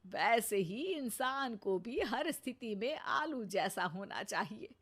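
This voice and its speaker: A woman's voice: on the high side, lively intonation, takes it slowly, fairly bright tone, exaggerated voice. A woman voicing a greedy, touchy older mother-in-law in a cartoon, with a sarcastic, sulky manner. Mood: relishing.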